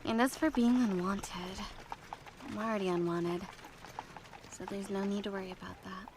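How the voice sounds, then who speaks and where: A woman's voice: medium pitch, fairly even intonation, moderate pace, noisy background, lightly acted. A woman playing a brash, defiant girl in an anime audio drama, flippant and blunt.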